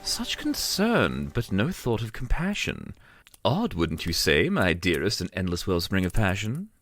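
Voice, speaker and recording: A man's voice: low-pitched, wildly swooping pitch, unhurried, a bit bright, exaggerated voice. A man playing a pompous, probing inquisitor with mocking courtly politeness, speaking in an ornate, drawling, theatrical way for an audio drama.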